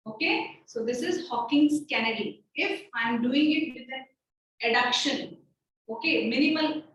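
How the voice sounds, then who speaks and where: Woman, higher voice, medical teacher demonstrating on a volunteer, step-by-step explaining, distant and very echoey. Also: a bit bright, highs cut off. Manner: explains step by step, checking with frequent "okay" and "right". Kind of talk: live clinical demonstration. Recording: very echoey room, voice from far off the mic.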